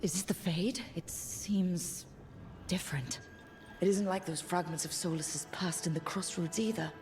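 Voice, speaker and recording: Low-voiced, wry heroine played by a woman. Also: steady tone, measured pace, darkish tone, close to an everyday voice. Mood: composed, questioning. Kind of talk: video game character dialogue. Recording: music behind.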